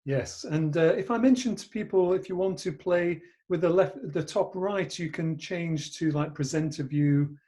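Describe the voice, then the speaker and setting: A man's voice: fairly high pitch, moderate pace, few pauses, warm tone. A man hosting an online practice group, giving brief friendly instructions. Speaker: host. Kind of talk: casual online chat. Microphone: video call.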